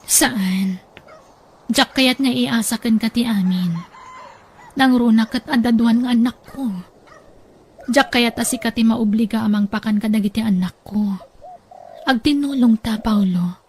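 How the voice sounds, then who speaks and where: A woman voicing an earnest young mother who speaks in a soft, pleading way. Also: a little high, a little slow, pauses often, breathy edge. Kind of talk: Ilocano audio drama acting.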